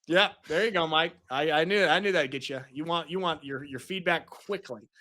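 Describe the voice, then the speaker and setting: A man in his forties leads a casual sports podcast, with a bright, forceful voice pitched a little high. He jokes, rambles with fillers and keeps the chat moving. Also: normal speed. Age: 40s. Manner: joking, chatty, rambling with fillers. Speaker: podcast host.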